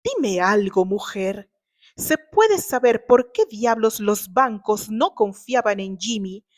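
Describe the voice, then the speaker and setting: A woman's voice: mid-range voice, lively intonation, slow pace. A woman voicing an indignant older father, a stern patriarch who scolds and demands answers. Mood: angry, demanding.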